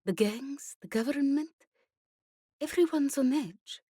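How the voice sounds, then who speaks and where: A woman narrator plays a grim, weary woman operative in an audiobook. Her voice sits higher, earnest and measured, on a very dry recording. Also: expressive, dark tone, no echo. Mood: grim, uneasy. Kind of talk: audiobook character voice.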